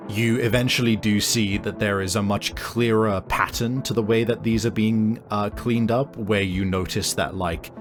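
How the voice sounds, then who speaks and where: A man narrates a tabletop roleplaying scene in a low voice over clearly audible music. He takes his time, feeling his way through each description with frequent pauses. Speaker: game master. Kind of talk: improvised tabletop game narration.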